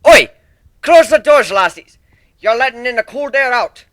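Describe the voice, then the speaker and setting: A man's voice: extremely high pitch, expressive, normal speed, fairly bright tone, no room sound, clean studio sound, pitched above the performer's own voice. A man voicing a cheery, boastful Scottish minotaur with boisterous, courtly manners for a story reading. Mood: gruff welcome.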